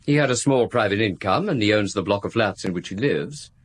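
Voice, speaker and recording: An actor playing a count's well-bred, hesitant nephew in a radio drama, speaking politely and a little haltingly. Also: male voice, lowish voice, expressive, husky. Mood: frank, uneasy.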